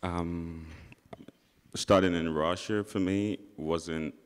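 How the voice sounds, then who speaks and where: Deep-voiced man in an echoing hall. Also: steady tone, takes it slowly, clear, resonant tone. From Nigeria. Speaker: alumnus panelist. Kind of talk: panel discussion answer. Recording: long hall reverb.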